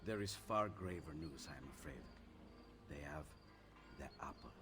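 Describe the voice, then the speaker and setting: An actor voicing a gentle, apologetic Italian inventor in a video game, with an Italian accent. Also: male voice, very deep voice, expressive, moderate pace, music in the background. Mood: grave regret. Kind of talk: dramatic game voice acting.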